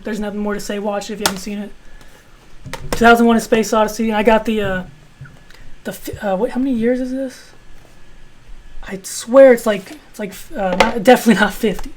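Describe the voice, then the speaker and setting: A man in his twenties with a high-pitched voice, reviewing his film collection in a rambling, casual way with some swearing. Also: fairly even intonation, brisk, noisy background. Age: 20s. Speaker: video host.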